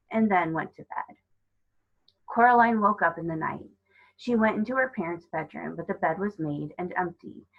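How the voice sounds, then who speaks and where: Female storybook narrator, lowish husky voice, expressive read-aloud delivery, slightly dull lo-fi recording. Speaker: storybook reader. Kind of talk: scripted narration of a children's novel.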